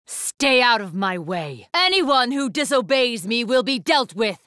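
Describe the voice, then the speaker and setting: A woman's voice: on the high side, big pitch swings, a little slow, a bit bright, no echo, clean studio sound. An actress playing an imperious, ruthless young woman warrior, speaking in formal, haughty declamations for an anime fighting game. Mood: cold, haughty.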